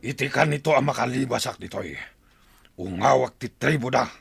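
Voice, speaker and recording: Man acting a gravelly, defiant villain chieftain. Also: medium pitch, normal speed. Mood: scheming, bitter. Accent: Filipino. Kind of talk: Filipino radio drama acting.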